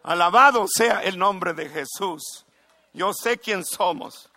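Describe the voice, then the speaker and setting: A middle-aged male pastor preaching a fervent sermon in Spanish, breaking into shouts of praise. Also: sits high, slow pace, a little hiss or hum.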